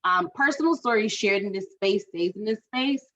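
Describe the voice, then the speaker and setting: A woman's voice: a little high, animated, moderate pace, fairly bright tone, muffled recording. A woman from Barbados, leading an online group workshop, chatty and encouraging as she reads the chat aloud. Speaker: workshop facilitator. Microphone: video call.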